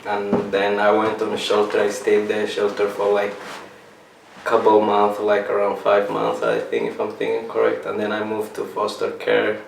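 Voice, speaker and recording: A young man from Somalia telling his own story in an interview, halting and restarting as he searches for words. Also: on the low side, vocal fry. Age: young adult. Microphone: set up mic in room. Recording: roomy, mic a little far, faint hiss.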